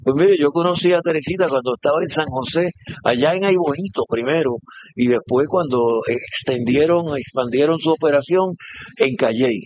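A man's voice: a little high, moderate pace, a little mellow, slight background noise. A man with a Puerto Rican accent interviewing on site, friendly and enthusiastic, with many hesitant fillers. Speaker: interviewer, reporter.

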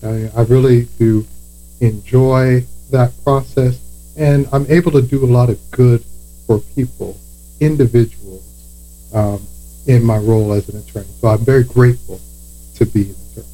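A man from South Florida, an attorney, speaking with a South Florida accent as a podcast guest, telling his story in a measured way with frequent fillers. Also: medium pitch, fairly even intonation, warm tone, rough recording.

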